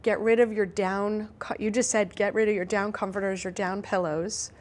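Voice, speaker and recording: A woman's voice: mid-range voice, steady tone. A woman doctor hosting a health interview show, asking follow-up questions and summing up her guest's answers. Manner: inquisitive, recaps answers back, brisk follow-up questions. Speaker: talk show host, doctor.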